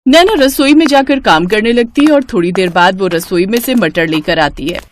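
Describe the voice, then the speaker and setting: A woman's voice: mid-range voice, expressive, normal speed, slight background noise. A woman reading scripted narration for a moral story as its storyteller.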